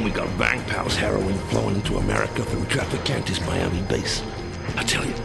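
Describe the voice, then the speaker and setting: A boozy, cynical American intelligence insider, confides in a very deep voice full of gravel and fry. He is slangy, sardonic and conspiratorial, slipping toward whispers as he gloats through his dramatic monologue. Loud music plays behind him throughout. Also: exaggerated voice. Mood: smug, boastful. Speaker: author reading his own work. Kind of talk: dramatic monologue, audio comic narration.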